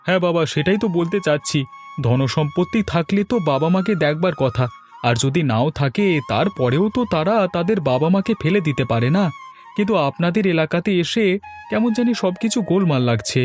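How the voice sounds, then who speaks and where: Casting: male actor for polite young village husband, higher male voice, humble and generous, cartoon with faint music. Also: animated, normal speed, darkish tone, natural voice. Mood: earnest. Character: earnest, respectful young village son-in-law, generous and well-meaning. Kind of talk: cartoon fairy-tale voice acting.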